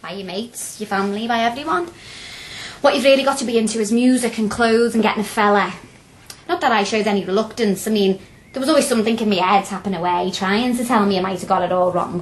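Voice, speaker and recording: Woman acting as young working-class student, Liverpool accent, higher-pitched, outspoken, feisty, radio drama. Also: close to an everyday voice, rough recording. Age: young adult. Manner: chatty, outspoken, colloquial.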